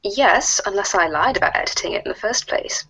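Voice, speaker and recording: A woman playing a formal, stiffly scheming female alien troll in a comic readers theater, speaking in wordy, deliberate sentences. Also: medium pitch, lively intonation, pushed and bright, slightly rough, poor audio. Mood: smug, formal. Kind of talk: readers theater of web comic chat logs.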